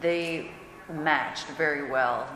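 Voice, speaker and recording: A woman's voice: deep voice, drawn-out words. A woman speaking as a sound designer on a panel, explaining things informally and matter-of-factly. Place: indoor convention panel room. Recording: echoey hall, clear background noise.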